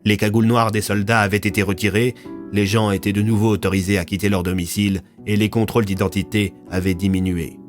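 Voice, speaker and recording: A man's voice: on the low side, flat delivery, fast, faint music behind. A man reading scripted narration for an audio fiction serial, in a storytelling delivery.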